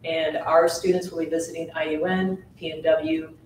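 A woman giving the assistant superintendent's report at a school board meeting, in a measured way with some fillers. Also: low-pitched, flat delivery, darkish tone, a little hiss or hum. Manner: measured, reads out figures with fillers. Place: large meeting room with long tables. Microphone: table mic from far off. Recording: echoey boardroom picked up from far off.

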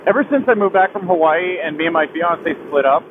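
A young man riding a motorcycle on a highway, talking through a helmet mic in a halting, heavy-hearted confession that keeps restarting. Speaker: motovlogger. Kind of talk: personal confession while riding. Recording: tinny helmet mic with wind and road noise.

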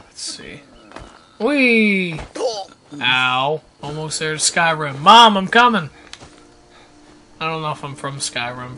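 A man co-hosting a video game show, joking casually and exclaiming excitably as he plays. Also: a little high. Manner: excitable, jokey, prone to exclaiming. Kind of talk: casual, joking gameplay commentary.

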